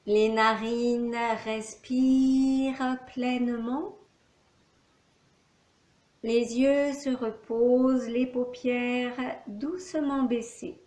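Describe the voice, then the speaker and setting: A woman teaching yoga nidra, giving calm, measured relaxation instructions with long pauses. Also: on the high side. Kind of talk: guided relaxation meditation. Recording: roomy echo, faint background noise.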